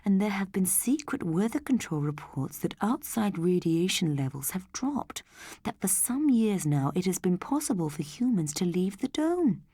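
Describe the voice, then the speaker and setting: A woman voicing a thoughtful, self-satisfied husband in an audiobook story, measured and confiding. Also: mid-range voice, big pitch swings, mellow, husky, no echo, clean studio sound. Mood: hushed, confiding.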